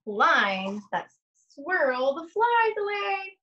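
A woman's voice: very high voice, sing-song, extremely slow, sharp tone, lo-fi sound. A young adult woman, an art teacher, leading a read-aloud lesson for children with simple questions and prompts.

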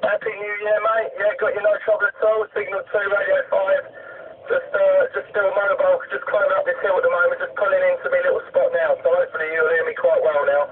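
A man's voice: sits high, flat delivery, fast talker. Matey man through heavy CB radio noise. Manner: matey, informal. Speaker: CB radio operator. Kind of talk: casual radio chat. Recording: very noisy, distant, tinny CB radio.

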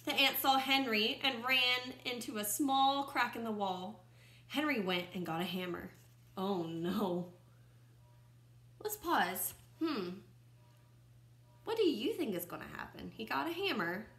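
A young woman with a bright, slightly high voice reads a children's picture book aloud. She is expressive and teacherly, stepping out of the story to comment or put questions to young listeners. The room she records in is audibly echoey. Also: a little slow, long pauses. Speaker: first-grade teacher reading aloud. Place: small room at home, indoors by a window. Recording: roomy, echoing home recording.

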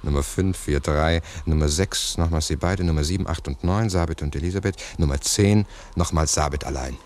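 An actor playing a sceptical, methodical investigator in a 1960s radio drama, deliberately reasoning aloud in formal speech. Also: male voice, extremely low pitch, darkish tone. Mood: matter-of-fact.

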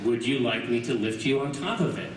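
A man voicing a polite, forlorn bear as he reads a storybook aloud slowly and plainly. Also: medium pitch, distant mic, pitched below the performer's own voice, close to an everyday voice. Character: polite, forlorn bear searching for his hat. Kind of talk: storybook read aloud to an audience. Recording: echoey stage PA from afar, loud crowd.

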